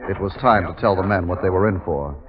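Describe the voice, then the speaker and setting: An actor playing a measured, authoritative army captain who narrates his wartime mission in a 1950s radio drama. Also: sits low, natural voice. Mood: grim, measured. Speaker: narrator and commanding officer. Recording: dull 1950s radio recording with music.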